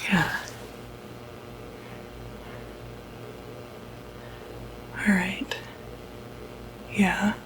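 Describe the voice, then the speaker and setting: A woman playing a calm, quietly puzzled doctor in an ASMR medical roleplay, whispering breathily and hesitantly. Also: fairly low pitch, background noise. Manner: hesitant, halting, murmured asides. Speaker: ASMR performer.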